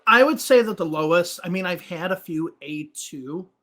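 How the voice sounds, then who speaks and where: A man's voice: sits high, lively intonation, moderate pace, pushed and bright. A man who teaches English, answering interview questions on a podcast in a thoughtful, explaining way. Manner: explanatory, thinks aloud with restarts.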